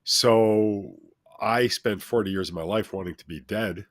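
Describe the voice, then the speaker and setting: A middle-aged or older man with a deep, authoritative voice, speaking as a motivational guest and addressing listeners in an emphatic, instructive way. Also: a little slow, dry recording, studio quality. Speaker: talk show guest, self-help speaker. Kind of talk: motivational interview talk, speaking at length.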